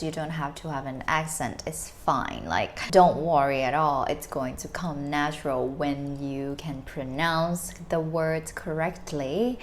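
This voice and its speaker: A woman's voice: fairly low pitch, slight background noise. A young woman from Thailand with a Thai accent, giving earnest, encouraging tips about learning English in a casual vlog, often saying "like". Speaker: vlogger.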